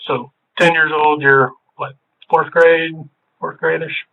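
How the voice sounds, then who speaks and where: A man's voice: level tone, slow pace, gravelly. A man working as a police detective, questioning someone in a casual, friendly way in a police interview room. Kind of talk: interrogation small talk. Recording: tinny low-fidelity room recording.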